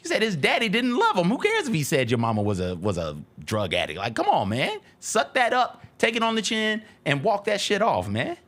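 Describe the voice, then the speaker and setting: A man's voice: sits high, huge pitch swings, fairly quick, few pauses, fairly bright tone. An American man co-hosting a talk show with casual, slangy commentary, emphatic and often repeating himself.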